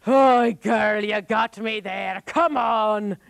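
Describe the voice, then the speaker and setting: A woman's voice: medium pitch, drawn-out words, creaky, dry recording, clean studio sound. A woman voicing a big, blunt old woman married to a giant. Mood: gruff. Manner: blunt, loud.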